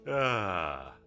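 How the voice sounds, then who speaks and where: A man's voice: medium pitch, sharp tone, full character voice. A man playing a confident, teasing villain commander in a roleplay audio drama, drawling and flirtatious with a bossy edge. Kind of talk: scripted roleplay audio drama addressed to the listener.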